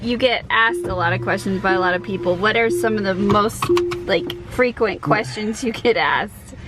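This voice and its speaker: A woman's voice: mid-range voice, moderate pace. A woman co-hosting a family road-trip vlog from a car, upbeat and full of questions. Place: inside a car. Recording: loud background music.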